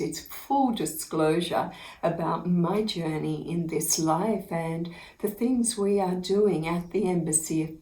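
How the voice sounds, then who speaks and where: A woman, a spiritual teacher, telling inspirational stories about her own life in an unhurried, earnest way, often breaking into laughter. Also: on the low side, darkish tone, echoey room, poor audio. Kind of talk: inspirational personal storytelling to camera.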